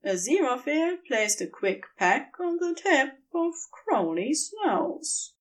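Woman narrator, lively melodic intonation, unhurried, scripted fiction storytelling. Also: high-pitched.

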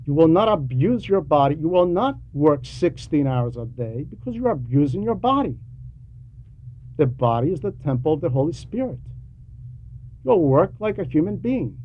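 A man preaching a sermon with emphatic, deliberate phrasing and frequent pauses. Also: on the high side, big pitch swings. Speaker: preacher reading a translated homily. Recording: dull lo-fi recording with background noise.

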